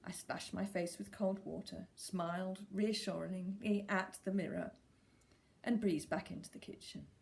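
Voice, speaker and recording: A woman reading a scripted first-person literary story in a dry, measured storytelling manner. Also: on the low side, gravelly, a little mellow, a little hiss or hum. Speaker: story reader.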